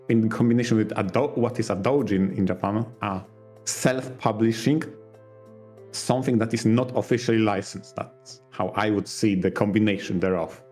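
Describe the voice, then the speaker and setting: A man co-hosting a podcast, reasoning through points casually in deliberate, halting phrases. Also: fairly low pitch, a little mellow. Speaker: podcast co-host. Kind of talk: casual analytical podcast discussion.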